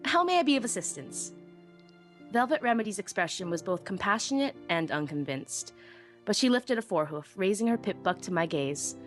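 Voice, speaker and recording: A woman's voice: medium pitch, sing-song, fairly quick, natural voice. Woman as a wistful young pony narrator over music. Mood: flustered, eager. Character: shy, self-deprecating young female pony technician. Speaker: first-person narrator. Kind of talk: dramatic live audiobook reading.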